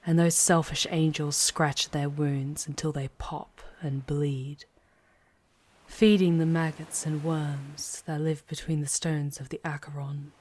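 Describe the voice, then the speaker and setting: A woman playing a charming, poised female demon guide in an intimate ASMR roleplay, speaking in a measured way with breathy, hushed touches. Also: sits low, little pitch movement. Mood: eerie, intimate. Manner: measured, deliberate, often dropping into breathy whispers.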